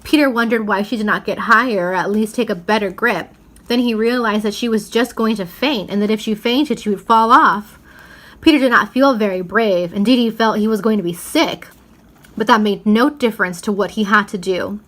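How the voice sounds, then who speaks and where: A woman narrates a children's storybook at a medium pitch. She reads expressively, giving dramatic emphasis to key words as a read-aloud storyteller would. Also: normal speed, a bit bright. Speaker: reader reading a children's novel aloud.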